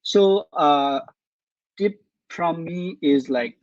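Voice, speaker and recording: A man's voice: on the high side, very slow, no room sound, muffled recording. A man, speaking as a doctor on a webinar panel, giving earnest advice and often repeating words as he searches for them. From Nepal. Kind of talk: casual advice talk.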